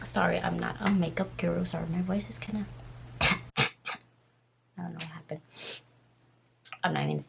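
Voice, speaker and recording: A woman in her twenties with a raspy voice, casually telling a story on her vlog while doing her makeup, rambling and full of fillers. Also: lowish voice, fairly even intonation, normal speed, pauses often, noisy background. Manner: rambling, filler-heavy and nervous. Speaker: vlogger.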